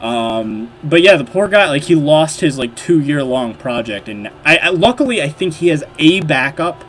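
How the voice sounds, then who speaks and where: A nasal, slightly high-voiced man chats loosely on a livestream, piling on fillers like "like" and "you know" and restarting his sentences. A faint noise sits under his voice. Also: expressive, normal speed, a bit bright. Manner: rambling, filler-heavy, frequent restarts. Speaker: livestream host. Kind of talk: casual livestream chat while modding.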